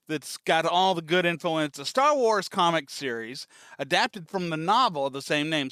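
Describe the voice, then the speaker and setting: A man's voice: on the high side, sharp tone, clear, resonant tone, no echo, clean studio sound. A man hosting a reaction show, chatting casually in an easygoing way.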